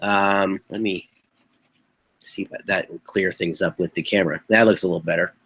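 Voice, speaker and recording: Young guy on a webcam live chat, hoarse stuffy cold voice, kinda bright, rambly with lots of ums. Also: deep voice, steady tone, moderate pace. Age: young adult. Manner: rambling, frequent throat clearing and fillers. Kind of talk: casual live-stream chat. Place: small bedroom with posters on the walls. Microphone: webcam mic at desk distance.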